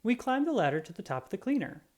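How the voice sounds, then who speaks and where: A reader reading a children's picture book aloud in an expressive storytelling manner. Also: mid-range voice, quick pace.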